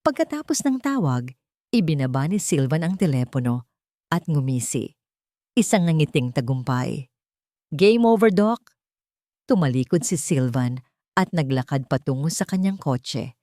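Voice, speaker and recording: Isang babaeng nagsasalaysay ng madramang kuwento mula sa nakasulat na iskrip. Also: female voice, very deep voice, expressive, moderate pace, mellow, dry recording, clean studio sound. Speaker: story narrator. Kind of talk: scripted dramatic story narration.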